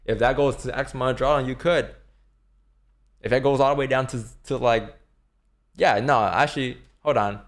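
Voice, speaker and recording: A man's voice: medium pitch, steady tone, moderate pace, pauses often. A man in his twenties, chatting casually on a livestream and answering chat questions, laid-back and swearing now and then. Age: 20s. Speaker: livestream day trader. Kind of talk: casual live-stream chat.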